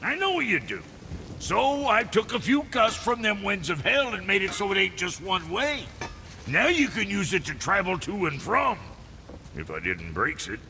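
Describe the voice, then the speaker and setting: Casting: crude little dwarf tinkerer for a game, high-pitched, swooping delivery, folksy grammar, big reverb. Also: male voice, sing-song, moderate pace, gravelly, pushed and bright, heavily acted. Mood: boastful, casual. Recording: long reverb, music behind.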